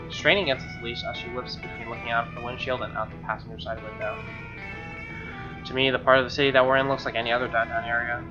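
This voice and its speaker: A man reading a game's narration aloud in a casual playthrough style, with informal, joking asides. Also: mid-range voice. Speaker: streamer playing and reading a game. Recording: slightly dull mic, music behind. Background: acoustic guitar game music.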